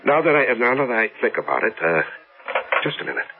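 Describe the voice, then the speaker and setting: Actor as obsessed American businessman, animated, tinny radio. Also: mid-range voice, over music, close to an everyday voice. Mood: brusque. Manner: emphatic, insistent, halting when flustered. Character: obsessed, stubborn American businessman, devoted to his wife. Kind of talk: 1970s radio drama acting. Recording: tinny, band-limited old radio broadcast.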